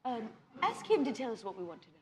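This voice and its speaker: A woman's voice: fairly high pitch, big pitch swings, fast talker. A woman playing a tabletop game at a live show, bantering casually in and out of character. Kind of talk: casual animated roleplay banter. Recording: noisy live convention recording. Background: room ambience and noise.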